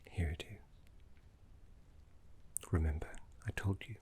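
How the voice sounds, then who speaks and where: Man acting as scholarly necromancy tutor, deep hushed whisper, very slow, courteous, wistful, soothing ASMR roleplay. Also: exaggerated voice. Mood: fond, gentle. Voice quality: soft, breathy whisper.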